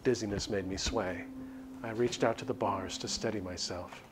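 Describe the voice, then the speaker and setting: Low-voiced man narrating over music. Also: steady tone, normal speed, low-quality recording. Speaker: streamer reading a visual novel aloud. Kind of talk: dramatic story narration with casual commentary.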